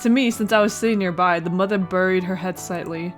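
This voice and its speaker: A woman's voice: lowish voice, fast talker. A woman hosting a casual let's play, reading a visual novel aloud with playful asides and stumbles over words. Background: music.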